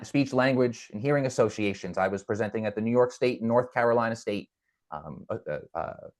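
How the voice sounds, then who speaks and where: A man guest in an interview, speaking earnestly and reflectively, with a stutter that brings repeated words and restarts. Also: lowish voice. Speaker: podcast guest. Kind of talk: conversational interview.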